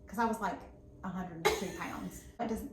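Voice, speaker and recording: A woman's voice: medium pitch, moderate pace. A woman, chatting casually as co-host and laughing often. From South Carolina. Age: 40s. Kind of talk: casual chat. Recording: echoey room, mic at a distance, faint noise.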